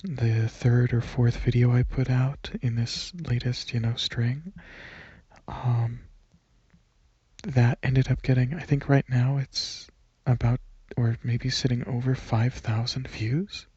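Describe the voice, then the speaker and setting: Husky, breathy man rambling softly. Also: mid-range voice, slow pace, no room sound, lo-fi sound. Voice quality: soft, breathy, husky, partly whispered. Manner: relaxed, hesitant, unforced. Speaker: ASMR video creator. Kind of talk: casual rambling ASMR, softly spoken to listeners. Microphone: microphone held close at chest, leaning back.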